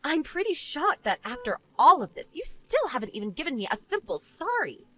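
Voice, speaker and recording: A woman's voice: high voice, big pitch swings, brisk, sharp tone, exaggerated voice. A woman playing a smug, vengeful wife and former bullying victim in scripted story dialogue, taunting and sarcastic. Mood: smug reproach.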